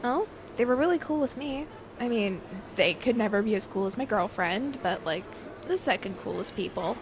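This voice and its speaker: A woman's voice: medium pitch, animated, normal speed, noisy background, natural voice. An actress playing a bubbly, artsy young woman in an audio drama, gushing with enthusiasm. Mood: eager, starstruck.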